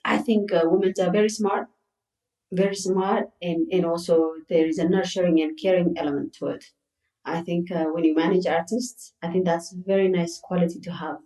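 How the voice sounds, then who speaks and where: Middle-aged woman from ethiopia, lowish voice, earnest, repeats words, echoey room. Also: fairly even intonation, a little fast, warm tone. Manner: earnest, repeats words for emphasis, laughs often. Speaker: circus founder and guest. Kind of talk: conversational interview answers.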